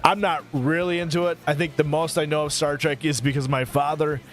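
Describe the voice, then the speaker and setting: A man's voice: on the high side, brisk. Rambling man in his thirties streaming live. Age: 30s. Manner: rambling, relaxed, thanks viewers by name. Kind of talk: casual live-stream chat.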